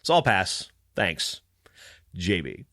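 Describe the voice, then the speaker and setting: A man's voice: sits low, sing-song, slow, pushed and bright, dry recording, studio quality. A man podcaster telling a story in a casual, snarky, emphatic rant. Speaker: wrestling podcaster.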